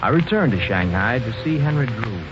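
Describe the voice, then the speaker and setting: A man's voice: mid-range voice, big pitch swings, moderate pace, close to an everyday voice. An actor playing an earnest, wronged young man who narrates his tale dramatically in a 1940s radio mystery. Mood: brooding narration. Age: young adult. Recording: muffled 1940s radio recording with music.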